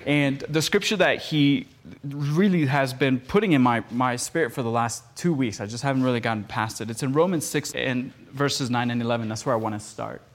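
A man's voice: mid-range voice, a little fast. A man in his thirties, born in Costa Rica with Spanish as his first language, talking earnestly and conversationally in a podcast studio, with many fillers and restarts. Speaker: podcast producer and guest speaker.

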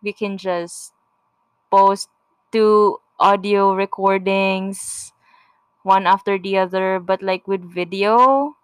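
A woman's voice: medium pitch, fairly even intonation, slow pace, clean tone, dry recording. A young woman from the Philippines with a Filipino accent, co-hosting a casual couple podcast, giggly and repeating words as she starts a thought. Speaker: podcast co-host.

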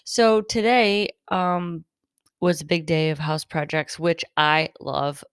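Woman, raspy tired voice, low pitch, chatty rambling solo podcast, dry close mic. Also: fairly even intonation, slow pace, no room sound. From Northern California. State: tired, partly lost voice. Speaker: podcast host. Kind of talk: improvised solo talk.

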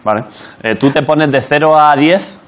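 A man from Jaén with an Andalusian accent, hosting a late-night talk show and teasing his guest with quick jokes. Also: fairly high pitch. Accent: Andalusian Spanish. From Jaén, Spain. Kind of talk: joking late-night interview. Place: TV studio with live audience. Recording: loud studio audience and noise behind.

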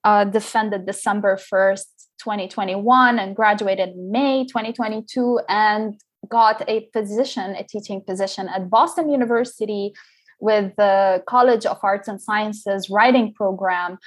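A middle-aged woman who grew up in Liberia, speaking as an interview guest. Her pitch sits in an ordinary middle range, and she talks reflectively, pausing with "uh" and restarting her phrases as she thinks. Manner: reflective, many fillers and restarts. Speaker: guest scholar. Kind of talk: interview conversation.

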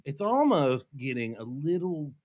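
A man's voice: on the high side, a little slow, muffled recording. A man, co-hosting a podcast in casual chat, telling stories with lots of laughs. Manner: animated storyteller, laughs easily. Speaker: podcast co-host. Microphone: studio microphone.

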